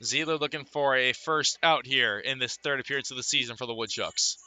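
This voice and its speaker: A man calling live baseball play-by-play for radio from a ballpark, in measured phrases with pauses between plays. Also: medium pitch, fairly even intonation, sharp tone, faint music behind. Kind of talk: live radio sports commentary.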